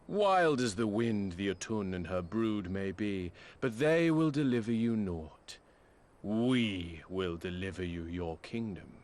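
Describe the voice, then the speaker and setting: Slow, imperious warlord. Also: male voice, fairly low pitch, lively intonation, darkish tone. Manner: slow, grandiose, archaic. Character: imperious, arrogant male sun-tribe warlord. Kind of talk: video game cutscene acting.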